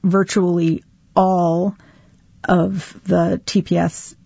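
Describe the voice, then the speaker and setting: A woman speaking as a legal advocate guest on a radio interview, slow and deliberate with pauses. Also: lowish voice, little pitch movement, creaky, dark tone, husky, dry recording, highs cut off. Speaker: civil liberties lawyer guest.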